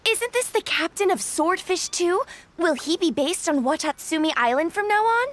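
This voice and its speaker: Tiny squeaky excitable childlike companion. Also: female voice, normal speed. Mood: surprised, curious. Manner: bubbly, exclamatory. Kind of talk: video game character acting.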